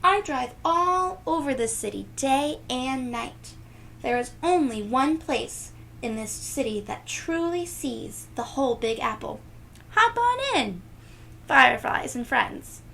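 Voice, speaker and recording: A woman's voice: sits high, animated, slow pace, vocal fry, pushed and bright, a little hiss or hum, heavily acted. A woman voicing a friendly, encouraging taxi driver who calls out emphatically in a storybook. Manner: calling out, emphatic.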